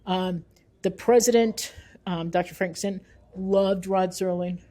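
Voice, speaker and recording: A woman's voice: mid-range voice, steady tone, measured pace, dark tone. A woman speaking as an interview guest and researcher, earnest and emphatic, repeating phrases for weight. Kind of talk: thoughtful spoken interview.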